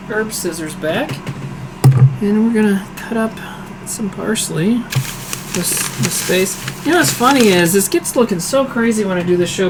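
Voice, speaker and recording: A man with a hoarse voice talks casually through a home cooking livestream, joking at his own expense and answering viewers' questions as he goes. A steady air conditioner hum sits clearly under his voice in the kitchen. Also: sits high. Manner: chatty, self-deprecating, answers chat aloud while cooking. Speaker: streaming cooking show host.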